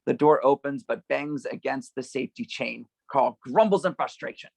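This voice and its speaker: A man with a gravelly voice reading stage directions as the narrator of a script table read. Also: medium pitch, moderate pace, a little mellow, no room sound.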